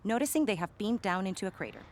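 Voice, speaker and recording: A woman's voice: mid-range voice, lively intonation, quick pace, background noise, lightly acted. A woman acting as the matter-of-fact narrator, reading stage directions in a scripted comedy play.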